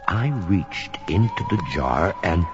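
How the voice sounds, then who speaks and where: Creepy old host, deep voice, slow pace, theatrical horror tale, lo-fi, backed by music. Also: male voice, big pitch swings, full character voice. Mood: frightened, suspenseful. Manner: slow, dramatic, whispers and outbursts. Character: sinister old storyteller welcoming listeners into his home. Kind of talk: theatrical spooky Halloween storytelling. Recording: dull lo-fi recording.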